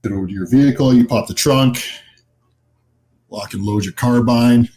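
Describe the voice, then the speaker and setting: A man acting as game master, casually describing scenes in a halting, conversational way. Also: medium pitch, a little mellow, a little hiss or hum.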